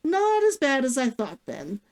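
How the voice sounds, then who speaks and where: A woman voicing a gruff but caring male hunter in a story, instructing in a measured, matter-of-fact way. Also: sits high, wildly swooping pitch, a little mellow, pitched above the performer's own voice, exaggerated voice. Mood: gruff, blunt. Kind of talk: fiction read-aloud character dialogue.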